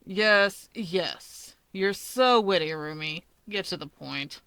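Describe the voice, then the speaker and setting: A woman voicing a sardonic, bored young man with a dry, deadpan drawl in a fan fiction audiobook. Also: lowish voice, takes it slowly, clear, resonant tone, no room sound.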